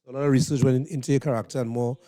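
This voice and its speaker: Mellow Trinidadian male interviewer. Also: mid-range voice, fairly even intonation, rapid-fire, dry recording. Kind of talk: casual backstage interview. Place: interview room just off stage.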